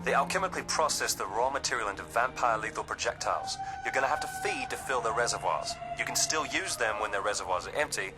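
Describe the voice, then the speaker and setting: A man's voice: medium pitch, expressive, fast, hardly any pauses, music in the background, close to an everyday voice, low-quality recording. A man playing a businesslike mission handler. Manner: explaining, businesslike.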